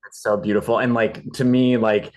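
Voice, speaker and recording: A man's voice: medium pitch, level tone, moderate pace, a little mellow. A man chatting casually on a panel, informal and appreciative as he gives his feedback. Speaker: actor and writer on a panel.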